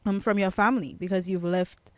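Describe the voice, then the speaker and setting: A woman from Dominica hosting a relaxed radio interview, asking questions and encouraging her guests. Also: mid-range voice, fairly quick, dry recording. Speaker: radio host. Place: radio studio.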